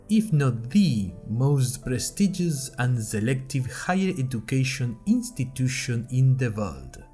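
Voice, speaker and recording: A man's voice: medium pitch, mellow. A man playing a grandiose university director, giving a theatrical, solemn welcome speech in an audio drama. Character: grandiose university director addressing new students.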